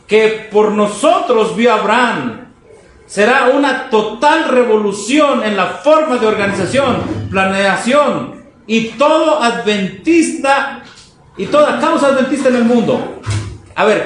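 A man preaching to a congregation, exhorting with rhetorical questions, reading quotations and commenting on them. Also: very high voice. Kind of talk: fervent sermon to a congregation, reading quotes and commenting. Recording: echoey room, noisy background.

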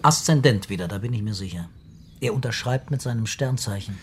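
An actor playing a brisk, probing police commissioner in a radio crime drama, speaking in terse questions. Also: male voice, lowish voice, slight background noise, lightly acted. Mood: musing, focused.

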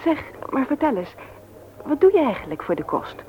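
A woman's voice: high voice, big pitch swings, normal speed. An actress playing a flirtatious, forthright widowed mother in a radio play, with a teasing, arch manner. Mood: playful, forthright. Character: flirtatious, frank widowed mother of two on a first date. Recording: dull old radio recording with noise.

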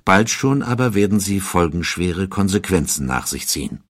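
A man reads a historical documentary script in a calm, measured way. His voice is very low and somewhat husky, recorded dry and close. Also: no echo. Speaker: narrator.

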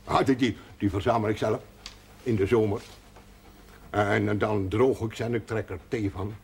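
An actor playing a stubborn, proud country folk healer, devout and earthy, blunt and stammering when pressed, in a television drama. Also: male voice, fairly low pitch, steady tone, moderate pace, gravelly. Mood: proudly defensive. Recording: noisy old television soundtrack.

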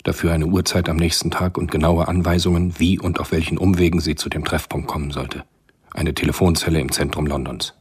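A male narrator of a radio thriller with an extremely deep voice. He delivers scripted literary narration between the acted scenes. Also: level tone, a little fast, husky.

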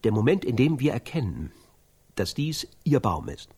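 A grim, intense storyteller in a German radio play. His husky voice swings widely in pitch as he lays out horrors in slow, halting, dramatic phrases. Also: very animated, mellow. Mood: grave, hushed. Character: intense man grimly narrating scenes of atrocity.

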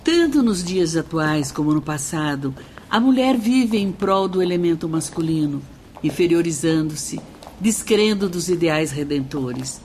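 A woman's voice: low-pitched, animated, measured pace, darkish tone, a little hiss or hum. An actress playing an earnest female spirit who lectures in a radio play.

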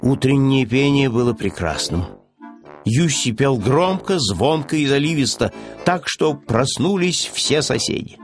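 Animated man narrating a children's story. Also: medium pitch, a little slow, faint music behind. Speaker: audiobook narrator.

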